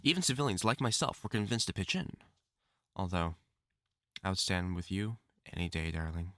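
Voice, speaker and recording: Man playing a lovesick, deep-voiced supervillain. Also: normal speed, a bit bright, dry recording, clean studio sound. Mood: solemn, explanatory. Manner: formal, theatrical diction, tender endearments breaking into anguished outbursts. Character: lovesick, obsessive male supervillain scientist, unstable and pleading. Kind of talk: dramatic audio roleplay monologue addressed to the listener.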